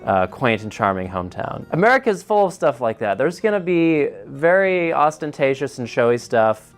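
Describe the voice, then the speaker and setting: A man's voice: mid-range voice, big pitch swings, moderate pace. A man journalist from Ohio who tells a hometown story with humor. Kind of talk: anecdotal chat. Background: faint music.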